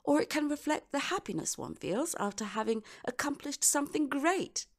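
A woman voice-over artist delivering a scripted dramatic monologue in a deliberate, theatrical manner with measured pauses. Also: high voice, very animated.

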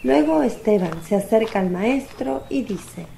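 A woman's voice: fairly high pitch, lively intonation, unhurried, gravelly, warm tone, slight background noise. A woman reading scripted narration for a radio drama as its narrator.

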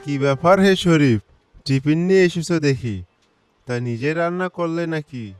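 A man playing a chummy, food-loving office colleague in an animated folk tale. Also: a little high, measured pace, clean tone, soft background music, lightly acted. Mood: chummy, impressed.

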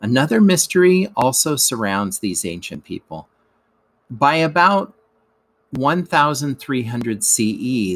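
Man reading a lesson aloud, measured. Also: mid-range voice. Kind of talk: educational read-aloud narration.

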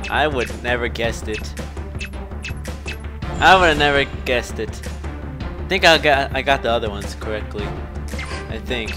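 A man doing casual video game commentary, rambling and thinking aloud. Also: medium pitch. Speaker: gameplay commentator. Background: game music.

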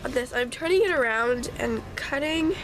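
A woman's voice: high voice, expressive, normal speed. A woman with a congested, stuffy nose chatting casually through a home craft tutorial, with apologetic asides as she works. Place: home craft table, indoors. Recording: faint sump pump hum behind.

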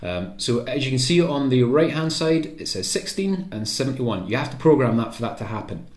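A man's voice: medium pitch, sing-song, fairly quick. Casual man demonstrating in an echoey room. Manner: informal, thinking aloud. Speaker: presenter of a radio programming tutorial. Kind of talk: casual hands-on demonstration, explaining step by step. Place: indoor room at a table.

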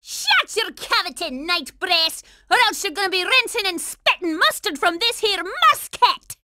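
Shrill, bossy cartoon girl. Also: expressive, measured pace, no room sound, studio quality, exaggerated voice. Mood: exasperated, angry. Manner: brash, shouty, commanding. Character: bossy, hot-tempered young girl kid operative. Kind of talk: cartoon video game acting.

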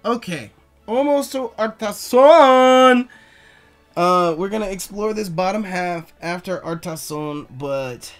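A man doing let's play commentary on a video game. He is excitable, repeats catchphrases, swears a lot, and his voice is getting hoarse. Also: a little high. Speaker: gaming YouTuber.

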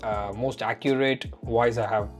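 A man's voice: mid-range voice, fairly even intonation, measured pace, faint music behind. A young man with a mixed Indian and Canadian accent reviewing a tech tool in casual, filler-heavy chat from a home room. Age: young adult. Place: home room lit by neon lights.

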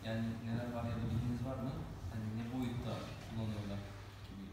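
Guy at the back fumbling through his question, quickish, noisy roomy sound. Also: mid-range voice, fairly even intonation. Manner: hesitant, rephrases his question. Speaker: audience member asking questions. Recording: echoey room from far off, background noise.

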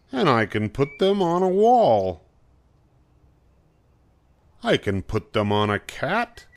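Older man as showy storybook animal, eager to belong, very slow singsong, swooping pitch. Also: exaggerated voice. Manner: slow, emphatic, singsong rhyming delivery. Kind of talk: picture book read-aloud.

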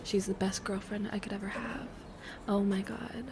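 A woman's voice: medium pitch, flat delivery, normal speed, creaky, darkish tone, natural voice. A woman playing a playful, affectionate secret girlfriend in an intimate roleplay audio, hesitant and trailing off as she teases. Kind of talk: intimate roleplay audio, casual improvised-sounding chat. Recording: noticeable cafe ambience noise behind.

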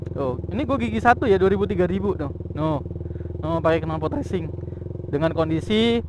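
Young man, high voice, excitable slangy vlogger, motorcycle ride outdoors, loud engine noise. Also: moderate pace. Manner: excitable, slangy, chatty, bursts of loud enthusiasm. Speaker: motovlogger. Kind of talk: casual motorcycle test-ride vlog. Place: outdoors on a moving motorcycle on town streets.

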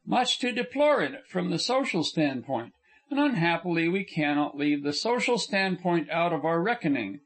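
A man reading a courteous young gentleman. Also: a little high, very animated, normal speed. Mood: reassuring. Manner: gentle, reassuring, formal. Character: courteous, measured young male engineer.

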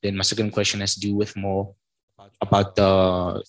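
A man's voice: on the low side, monotone, normal speed, low-quality recording. A man asking questions from the audience, hesitant with many ums.

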